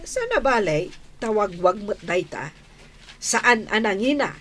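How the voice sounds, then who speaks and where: A woman's voice: medium pitch, big pitch swings, unhurried, a bit bright. A woman playing a practical, coaxing wife with an Ilocano accent in a radio comedy drama.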